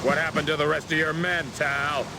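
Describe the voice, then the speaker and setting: A man's voice: fairly high pitch, little pitch movement, moderate pace, heavy background noise, lightly acted. An actor playing a stern, demanding male military commander in a fantasy game, giving authoritative, clipped orders. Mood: disapproving. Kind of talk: dramatic fantasy game voice acting.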